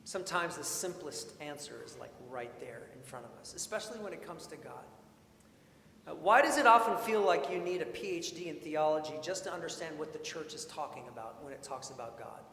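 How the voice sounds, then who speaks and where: A man preaching a sermon in an informal, expressive way, with storytelling and questions repeated for emphasis. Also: fairly high pitch, a little fast, gravelly. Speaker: preacher, priest. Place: large indoor church sanctuary. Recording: echoey church hall, long reverb, faint noise.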